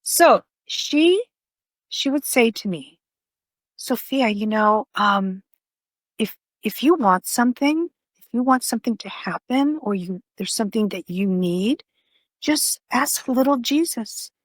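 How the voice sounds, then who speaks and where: A woman's voice: a little high, animated, unhurried, no room sound. An older woman whose first language is Greek, talking as a podcast guest in a rambling way full of "you know" fillers. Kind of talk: conversational interview.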